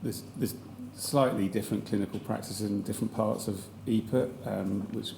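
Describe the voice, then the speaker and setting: A man with a slightly croaky voice, giving hesitant formal testimony as a chief executive at a public hearing, with many fillers and restarts. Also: fairly low pitch, fairly even intonation, normal speed, dark tone, slight background noise. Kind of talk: formal testimony under questioning. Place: large public hearing hall. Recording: echoey hall with faint ambience.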